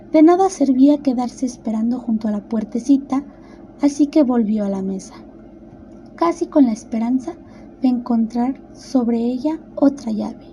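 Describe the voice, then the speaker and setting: A woman's voice: a little high, warm tone, slight background noise, low-quality recording. A woman narrating a scripted children's storybook tale as a radio drama narrator.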